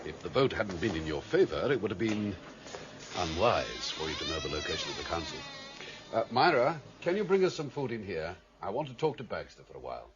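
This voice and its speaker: Grave underworld leader, dull recording. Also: male voice, lowish voice, huge pitch swings. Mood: commanding. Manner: formal, measured, authoritative. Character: grave, commanding male leader of an underworld council. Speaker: actor in a radio drama. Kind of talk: dramatic radio acting. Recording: dull, band-limited radio drama recording.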